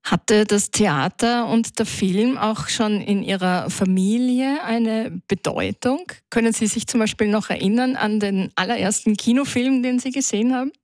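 A woman's voice: fairly high pitch, normal speed, few pauses, a little mellow, no echo, studio quality. Composed woman radio interviewer. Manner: composed, well-prepared questioning.

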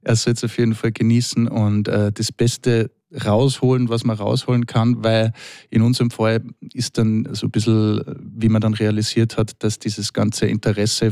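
Relaxed Austrian man, rambling musician. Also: on the low side, creaky, no room sound, studio quality. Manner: relaxed, rambling, many fillers. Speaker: musician guest. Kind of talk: casual interview talk.

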